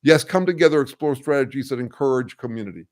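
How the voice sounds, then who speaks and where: An older man hosting a casual livestream, thinking aloud with many ums, uhs and restarts as he answers viewers, and describing his own voice as a bass. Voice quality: says he has a bass voice. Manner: thoughtful, halting, full of ums and restarts. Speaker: host answering viewer comments.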